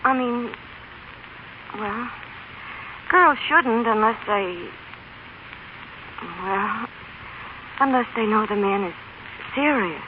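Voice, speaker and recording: Halting, high-voiced small-town girl, tinny broadcast. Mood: flustered. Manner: halting, earnest, self-correcting. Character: eager, unsure small-town mill girl in love. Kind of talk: 1930s radio drama acting. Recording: old radio broadcast, tinny, noisy.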